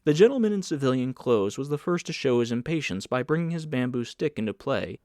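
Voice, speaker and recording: A man reading literary fiction aloud as a scripted, measured audiobook narration. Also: mid-range voice, lively intonation, darkish tone, no room sound, clean studio sound. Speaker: audiobook reader.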